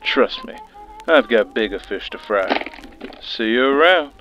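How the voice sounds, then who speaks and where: Music sits behind a slightly dull recording of a smug period government agent played by a man in an audio drama. He is sardonic and smooth-talking, teasing with very lively, swooping intonation. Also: mid-range voice, a little slow, natural voice. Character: smug, teasing male 1920s treasury agent.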